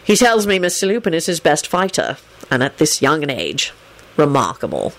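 A storybook reader voicing a stern, impassive male law-enforcement official with a clipped, formal, deadpan delivery. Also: medium pitch, moderate pace. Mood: bored.

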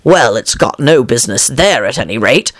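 A woman's voice: lively intonation, normal speed, no room sound, clean studio sound. A woman voicing a fussy, commanding male rabbit in a storybook reading. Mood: angry. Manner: shrill, commanding.

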